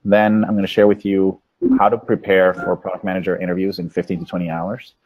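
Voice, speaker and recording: A man, a Spanish speaker, giving an informal slide presentation as a coach in a halting, measured way over a video call. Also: sits low, level tone, darkish tone, a little hiss or hum. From Venezuela. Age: 30s. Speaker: product management coach giving a webinar. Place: small room indoors, on a video call. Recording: dull, lo-fi webinar audio.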